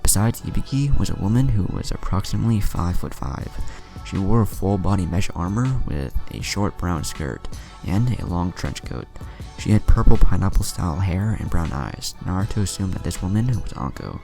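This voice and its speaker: A man reading a fan story aloud as a storyteller, with an even, unhurried delivery. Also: low voice, creaky. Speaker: fan fiction reader. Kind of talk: storytelling narration. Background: soft background music.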